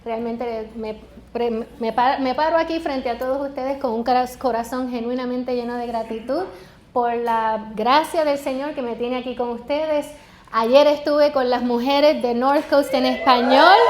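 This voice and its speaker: A woman's voice: a little high, slight background noise. A woman in her forties from Puerto Rico with a Puerto Rican Spanish accent, a guest pastor preaching a sermon in a personal, joking way. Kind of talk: sermon to a congregation. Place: church sanctuary. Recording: echoey church hall with faint background.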